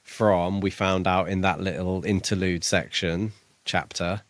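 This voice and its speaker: Low-voiced man musing haltingly. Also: steady tone, no room sound. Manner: tentative, musing, halting delivery. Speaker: podcast co-host. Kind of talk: casual book discussion.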